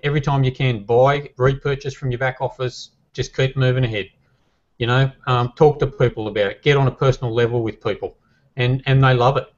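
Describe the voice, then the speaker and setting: A man giving a casual testimonial on a call, relaxed and enthusiastic, with many ums. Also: mid-range voice, fairly quick, lo-fi sound. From Australia. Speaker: guest member.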